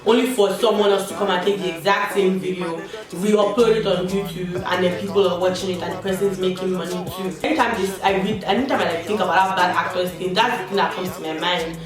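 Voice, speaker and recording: A woman's voice: lowish voice, fairly even intonation, brisk, hardly any pauses. A young woman chatting candidly while doing her makeup, with slangy asides. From Nigeria. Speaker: beauty vlogger. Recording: echoey room, distant mic, background music. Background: background music.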